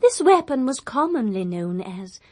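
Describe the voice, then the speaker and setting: A bossy alien storyteller in a comic radio play, fairly high and very animated. The manner is prim and superior, telling the tale precisely with scolding exasperation. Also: female voice, normal speed. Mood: storytelling.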